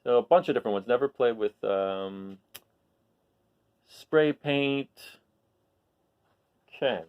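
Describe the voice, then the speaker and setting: A man reading a children's picture book aloud expressively, pausing for asides and questions to his listeners. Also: mid-range voice, clean tone, dull audio. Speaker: read-aloud storyteller.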